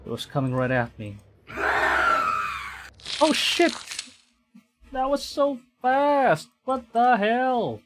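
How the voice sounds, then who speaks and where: A man doing live game commentary, excitable, reading story text aloud and muttering phrases over to himself. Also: very high voice, takes it slowly, long pauses, soft background music. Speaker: streamer.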